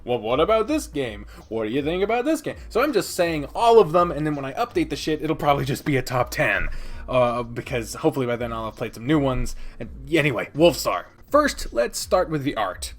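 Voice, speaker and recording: A man's voice: mid-range voice, big pitch swings, fairly quick. A man giving a casual, opinionated countdown review of games, rambling and emphatic with plenty of swearing. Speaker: video host and game reviewer.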